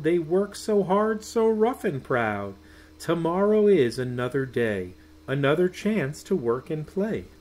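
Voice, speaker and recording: Expressive, unhurried man reading a bedtime story. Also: fairly high pitch. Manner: sing-song, drawn-out words with soft hushed goodnights. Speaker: picture book reader. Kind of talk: expressive read-aloud of a rhyming children's bedtime story. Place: small room at home.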